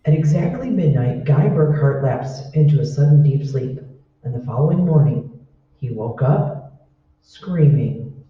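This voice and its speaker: A man's voice: medium pitch, animated, a little slow. A man giving scripted narration for an old-time radio drama. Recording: roomy, muffled, distant recording with noise.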